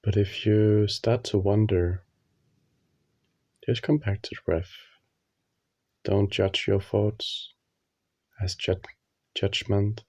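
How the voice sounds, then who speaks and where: A man leading a guided meditation, speaking calmly and soothingly with long pauses. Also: on the low side, vocal fry, no echo. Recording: dull, muffled lo-fi audio.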